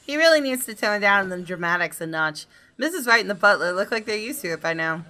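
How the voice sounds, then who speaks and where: Bright-voiced woman narrating a story. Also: medium pitch, lively intonation, fairly quick. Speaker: gaming video host reading aloud.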